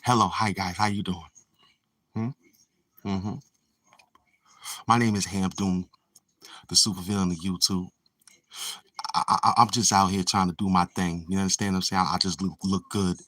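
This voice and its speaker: A man putting on a meek, soft-spoken, polite voice, speaking quietly and stammering a little. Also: deep voice, steady tone, moderate pace, long pauses, no room sound, voice pushed down. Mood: mock-gentle.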